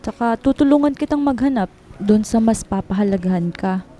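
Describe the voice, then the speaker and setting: A woman's voice: fairly high pitch, fairly quick, mellow, faint music behind, natural voice. A woman playing a loyal, protective coworker, supportive and reassuring, with a Filipino accent in a radio drama. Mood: caring. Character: loyal, protective office friend, caring and principled.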